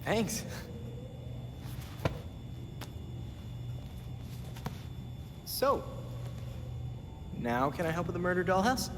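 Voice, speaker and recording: A wry, reassuring young hero in a game cutscene. He sounds friendly and eager, higher-pitched, in a long reverb with some clear noise behind. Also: very animated, a little slow. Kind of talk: video game cutscene acting. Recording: reverberant hall, background noise.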